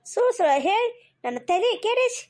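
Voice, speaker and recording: Woman as cartoon mother-in-law, extremely high voice, North Karnataka accent, cantankerous, earthy, insistent scolding. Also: very animated, normal speed, a little mellow. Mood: aggrieved. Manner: scolding, repeats words insistently. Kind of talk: cartoon comedy dialogue.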